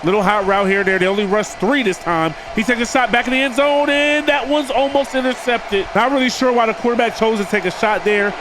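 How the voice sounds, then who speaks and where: A man's voice: very high-pitched, steady tone, brisk, few pauses, noisy background. A man with a cold, sounding nasal and stuffed up, doing casual play-by-play commentary over a football video game and talking to his viewers as "boys.". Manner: addresses viewers as "boys", hypes big plays. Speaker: gaming video creator.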